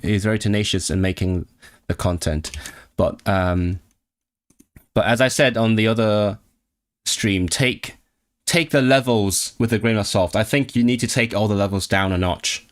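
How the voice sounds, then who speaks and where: Young guy streaming, lowish voice, thinks out loud, lots of restarts and questions to chat. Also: moderate pace. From Hong Kong. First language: Cantonese. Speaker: language-learning streamer. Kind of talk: casual live-stream chat reading viewer comments.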